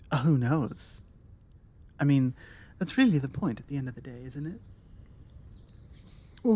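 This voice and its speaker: A woman with a British accent playing a forward, bookish, flirtatious vampire in an intimate ASMR roleplay, musing in a soft, wordy, playful way with hesitant pauses. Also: sits low, exaggerated voice. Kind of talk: ASMR audio roleplay, one-sided intimate conversation.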